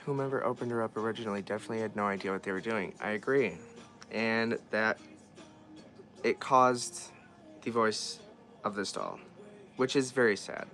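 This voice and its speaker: A man's voice: on the low side, moderate pace, pauses often, fairly bright tone, soft background music. A man chatting casually as he works on a repair, thinking aloud and often trailing off and restarting his sentences. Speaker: vlogger repairing dolls. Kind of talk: casual chat while working.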